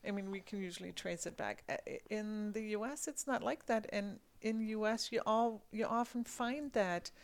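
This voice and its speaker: German woman chatting, lively, keeps repeating words, mid pitch. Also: moderate pace, hardly any pauses. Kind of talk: conversational discussion.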